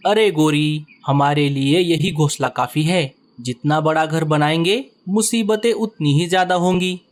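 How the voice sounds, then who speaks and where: A man voicing a kind, steady male bird who is the elder brother in a children's cartoon, calm and reasonable. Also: on the high side, a little slow, lightly acted.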